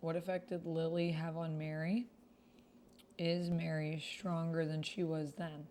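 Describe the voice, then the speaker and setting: A woman's voice: deep voice, level tone, measured pace, mellow, a little hiss or hum. A woman hosting a livestreamed text roleplay game, chatting directly with viewers and reading posts aloud.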